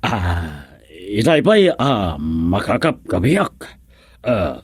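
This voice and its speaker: An actor playing an eccentric, babbling man in a radio comedy, with halting, garbled speech and odd catchphrases. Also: fairly low pitch, very animated, breathy edge, no room sound, studio quality, exaggerated voice. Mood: befuddled. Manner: halting, garbled words, frequent chuckling. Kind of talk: radio comedy drama acting.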